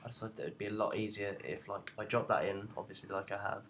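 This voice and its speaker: A young man with a low-pitched, noticeably creaky voice talks through a software tutorial informally. He explains haltingly, feeling his way through each point, over faint background noise. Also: steady tone. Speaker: student tutorial presenter.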